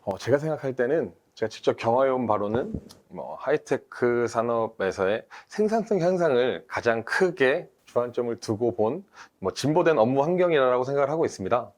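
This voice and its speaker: Man, team leader, methodical explanation, mid-pitched voice, webinar panel. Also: animated, darkish tone. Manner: measured, methodical, with frequent fillers. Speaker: team leader, industry expert guest.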